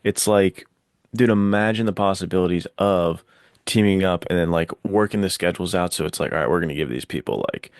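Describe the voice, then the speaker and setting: A man with a low voice talks in an enthusiastic, slangy podcast style. The sound is dry and close, with no room on it, and his delivery is casual and excitable. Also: fairly quick, dry recording. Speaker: podcast host or panelist. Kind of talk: casual enthusiastic book chat.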